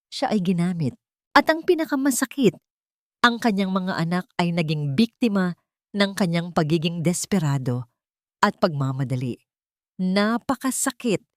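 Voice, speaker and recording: A woman storyteller with a fairly low voice. She narrates dramatic scripted fiction, and the recording is close and dry. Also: a little mellow, dry recording.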